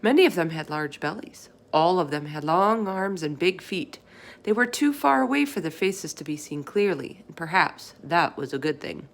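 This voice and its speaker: A woman narrating a children's book aloud in an expressive storytelling style. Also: lowish voice, moderate pace.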